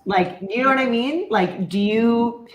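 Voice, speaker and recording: A woman's voice: a little high, measured pace. A woman casually leading a group conversation as host, putting questions to the others and adding her own thoughts. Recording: echoey room.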